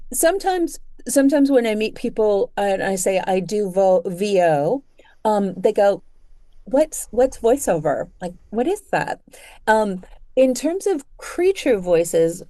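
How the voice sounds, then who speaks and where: A woman's voice: medium pitch, sing-song, takes it slowly, mellow, no echo. A woman hosting a casual interview in a conversational way. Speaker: interview host.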